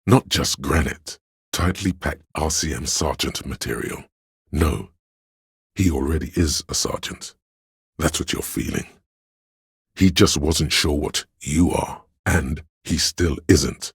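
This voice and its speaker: A man voicing an omniscient inner voice of police camaraderie in a detective video game, narrating gravely and theatrically in a husky, gravelly voice. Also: slow, no room sound, clean studio sound, heavily acted. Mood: intimate, musing. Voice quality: very deep gravelly husky rasp. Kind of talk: dramatic literary game narration.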